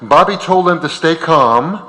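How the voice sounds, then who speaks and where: Male stage narrator, slightly high forceful voice, reverberant hall. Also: animated, measured pace. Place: large auditorium. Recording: reverberant auditorium.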